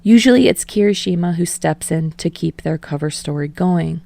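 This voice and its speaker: Low-pitched woman narrating fiction, dry sound. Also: normal speed, vocal fry, dark tone, no echo. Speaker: audiobook reader of fan fiction. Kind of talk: expressive scripted narration.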